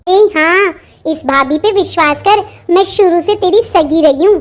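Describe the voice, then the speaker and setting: An extremely high voice from a woman plays a young sister-in-law and confidante. She soothes and coaxes with a scheming streak, in the heightened style of a cartoon family drama. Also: a little slow. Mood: coaxing. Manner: coaxing, placating. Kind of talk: melodramatic Hindi cartoon dialogue.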